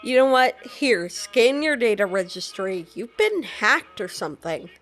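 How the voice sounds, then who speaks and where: A woman's voice: on the high side, animated, a little slow, music in the background. A woman playing a video game, reacting casually and informally in character. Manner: informal, sweary. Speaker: game player.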